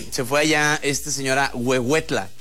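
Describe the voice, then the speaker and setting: Mexican male radio host, quick teasing banter, bright tone. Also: medium pitch, soft background music. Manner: playful, teasing, laughs a lot. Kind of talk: joking talk-radio banter.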